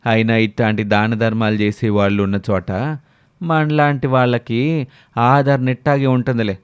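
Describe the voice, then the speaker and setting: A man's voice: mid-range voice, flat delivery, moderate pace, clear, resonant tone, no room sound, lightly acted. A man playing a frank, cynical village man needling his companion in a moral story. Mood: blunt, resigned.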